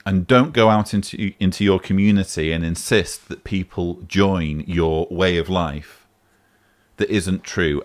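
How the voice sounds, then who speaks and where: A man hosting a vlog, answering a voicemail in emphatic, argumentative casual commentary. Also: deep voice. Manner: emphatic, argumentative, rising sharply on key points.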